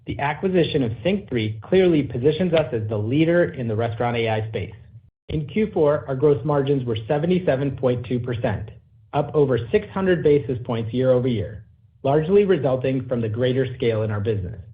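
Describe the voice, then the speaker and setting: A man's voice: medium pitch, lively intonation, brisk. A man speaking as a company executive on an earnings call, in businesslike corporate phrasing. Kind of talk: earnings call presentation and answers. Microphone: conference call line. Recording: narrow phone-like conference line.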